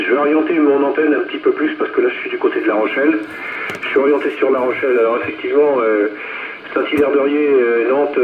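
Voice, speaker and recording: A man chatting casually as a radio operator over a two-way radio transmission. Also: mid-range voice. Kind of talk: casual radio contact chat. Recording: muffled, very noisy radio transmission.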